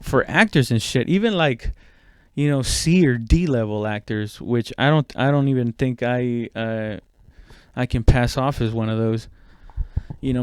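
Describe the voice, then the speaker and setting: Smooth, dry-recorded man rambling casually. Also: medium pitch, dry recording. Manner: self-deprecating, swears freely. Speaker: comedian and podcast host. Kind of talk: casual rambling comedic monologue.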